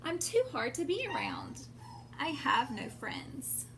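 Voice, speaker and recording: Woman reading as a glum porcupine, high-pitched. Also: very animated, takes it slowly, heavily acted. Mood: downcast. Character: lonely, glum, gentle male porcupine. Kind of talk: children's storybook read-aloud. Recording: clear background noise.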